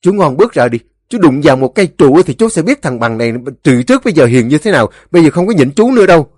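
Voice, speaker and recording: A man's voice: fairly high pitch, very animated, fast talker, a little mellow, dry recording, studio quality, voice pushed up. A man voicing a mild villager pushed to defiance in an audio story, heated. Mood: angry, challenging. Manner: challenging, heated.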